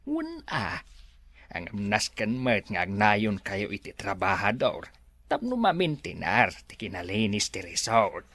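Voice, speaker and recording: A hesitant, deferential older helper in a radio drama. He speaks slowly in a singsong that leaps up and down, recorded very dry. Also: on the low side, wildly swooping pitch, fairly bright tone, dry recording, full character voice. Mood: eager, cautious. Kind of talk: Ilocano radio drama acting.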